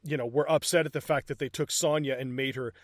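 A man's voice: medium pitch, steady tone, fast, dry recording, studio quality. A man co-hosting a casual movie review chat, walking through scenes and swearing casually. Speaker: movie review podcast co-host.

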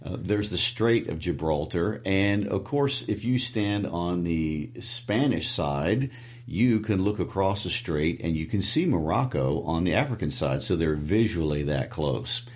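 Midwestern guy cohosting a book podcast, really low voice, easygoing. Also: a little slow. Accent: Midwestern American. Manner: relaxed explaining, with fillers. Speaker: podcast co-host. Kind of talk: casual book discussion.

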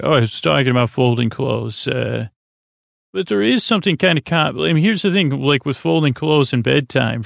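A middle-aged man hosting a sleep podcast in a creaky voice, meandering and halting through tangents and interrupting himself. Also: mid-range voice, no room sound. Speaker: podcast host. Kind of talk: rambling bedtime monologue to help listeners fall asleep.

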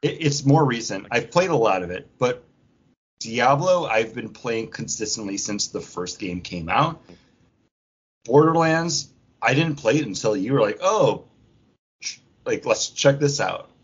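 A man co-hosting a podcast in casual, animated pop culture chat, swearing freely and acting out imagined quotes. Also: medium pitch, measured pace, slightly rough. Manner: profane, acts out imagined quotes mid-talk. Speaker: podcast co-host. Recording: dull, lo-fi audio.

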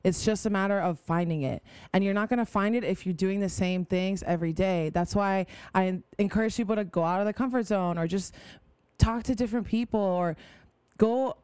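Smooth-voiced chatty young woman guest. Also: medium pitch, brisk, a little mellow, dry recording. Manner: enthusiastic, rambling, many "like" fillers. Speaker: podcast guest. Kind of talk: casual conversation.

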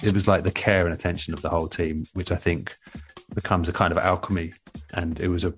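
A man, an audio producer, giving reflective interview answers in a measured, thoughtful way with pauses mid-sentence. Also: sits low, level tone, over music. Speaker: radio and audio drama producer, interview guest.

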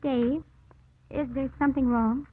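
A woman's voice: sits high, steady tone, measured pace, slight background noise. An actress playing an earnest young woman torn by forbidden love, intense in the manner of old radio drama. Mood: tender. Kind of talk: 1940s-50s radio drama acting. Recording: muffled old radio broadcast.

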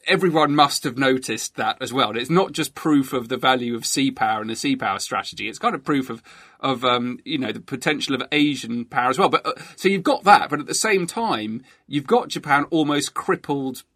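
A man's voice: medium pitch, brisk, fairly bright tone, no room sound, studio quality. A man hosting a conversational history interview, thinking aloud in an informal way. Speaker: interviewer and history podcast host.

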